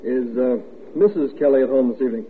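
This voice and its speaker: An actor playing a polite, hesitant police officer in an old-time radio drama, speaking courteously and matter-of-factly. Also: male voice, mid-range voice, quick pace, lightly acted. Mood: apologetic. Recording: tinny, noisy old radio recording.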